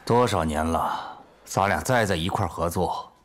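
A man playing a cautious middle-aged deputy police chief in an audio drama, earnest and deliberate, with a husky voice. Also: sits low, close to an everyday voice. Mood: concerned, earnest. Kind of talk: Chinese audio drama acting.

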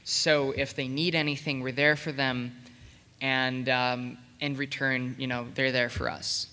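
Guy on a panel, bright clear voice, kinda rambly, big echoey room. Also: medium pitch, little pitch movement, a little slow. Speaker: convention panelist, production team coordinator. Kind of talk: informal panel talk. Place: convention panel room with audience. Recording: echoey hall with long reverb.

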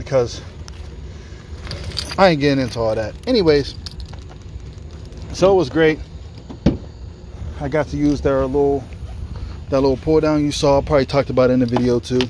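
A man in his thirties vlogging about his workout, with loose, self-correcting explanations, filmed on a phone camera. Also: medium pitch. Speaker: fitness vlogger. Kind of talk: casual gym vlog talk. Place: gym indoors, then outdoors by trees. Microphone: phone camera propped up, then held at arm's length. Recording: faint background noise.

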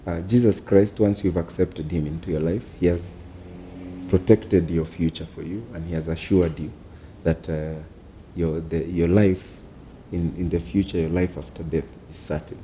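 Low, mellow-voiced man, thirties, earnest panelist; faint hiss behind. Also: moderate pace, rough recording. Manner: calm, earnest, laughs easily. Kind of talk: casual discussion. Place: small office studio, indoors. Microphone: lapel mic.

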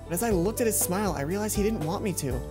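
A man's voice: sits high, expressive, fast. A young man hosting a let's play, reading visual novel narration aloud and adding casual commentary. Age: young adult. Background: faint game music.

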